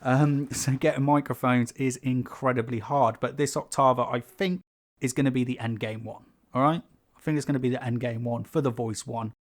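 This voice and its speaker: Man, mid-pitched, sibilant and slightly rough-throated, rambling casual delivery, small home room, overhead condenser mic. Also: fairly even intonation, moderate pace. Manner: rambling, chatty, many fillers and asides. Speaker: YouTube creator. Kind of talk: casual unscripted talk to camera. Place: small carpeted home room with foam panels. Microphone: pencil condenser on a stand above the speaker.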